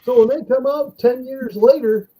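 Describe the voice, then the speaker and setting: Male co-host, high and husky, casual storyteller. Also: moderate pace, poor audio. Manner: animated anecdotes, laughs while telling stories.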